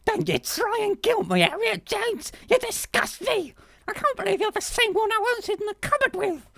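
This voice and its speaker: A man's voice: very high voice, big pitch swings, normal speed, vocal fry, fairly bright tone, no room sound, clean studio sound, voice pushed up, exaggerated voice. A man voicing a brash, scornful young woman in a comic story, with mouthy slang.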